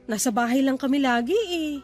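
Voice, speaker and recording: A woman's voice: high-pitched, brisk, a little mellow, faint music behind, close to an everyday voice. An actress playing an anxious, caring young first-time mother with a fretful, questioning manner in a radio drama. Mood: worried.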